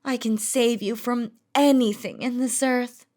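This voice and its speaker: Casting: female performer as feared demon queen, higher-pitched, unhurried, possessive and lovestruck, imperious delivery for romantic audio drama. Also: fairly even intonation, a little mellow, natural voice. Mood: commanding, indignant. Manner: imperious, dramatic, threatening yet doting. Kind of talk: scripted romantic audio roleplay.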